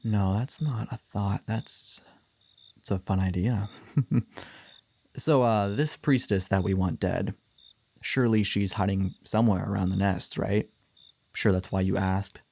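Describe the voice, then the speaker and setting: Wanted: male actor for a wry, gruff bounty hunter in fantasy audio roleplay, low-pitched, flirtatious and teasing. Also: fairly even intonation, a little slow, pauses often, darkish tone. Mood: sly, bargaining. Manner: teasing, wry, swearing, sighing.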